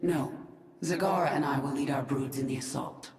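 A woman's voice: deep voice, normal speed, a little mellow, lightly acted. A woman playing a cool, resolute alien-human swarm queen in a video game, controlled and authoritative. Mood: measured, commanding. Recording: echoing, long cavernous reverb.

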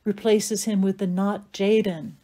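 A woman narrating stage directions from a script in a measured, matter-of-fact way. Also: medium pitch, level tone, mellow.

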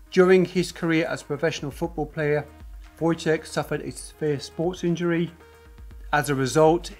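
A man in his forties presenting a scripted company explainer to camera in a dark studio, earnest and steady, gesturing with his hands as he talks. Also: on the high side, breathy edge. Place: dark studio set with a generator on display. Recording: faint music bed under the voice.

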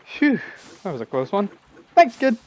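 A man's voice: sits high, sing-song, moderate pace, a little mellow, low-quality recording. A man voicing a cowardly, greedy, shifty sea captain who stammers evasively in a game parody. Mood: relieved. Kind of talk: fan-dub game parody acting.

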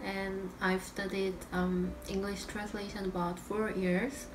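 A woman's voice: medium pitch, flat delivery, a little slow, roomy sound, background noise. A woman in her twenties from South Korea with a Korean accent, a student speaking English carefully and earnestly in an interview. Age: 20s.

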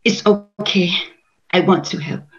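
Female reader as a kind, resolute woman, unhurried delivery, dull video-call sound. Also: on the low side, natural voice. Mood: resolute, reassuring. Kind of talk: script table read.

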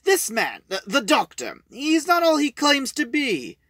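Man playing an indignant old military lord, high raised pitch, bright forceful tone, emphatic, protesting. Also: huge pitch swings, a little slow, no echo, clean studio sound, full character voice.

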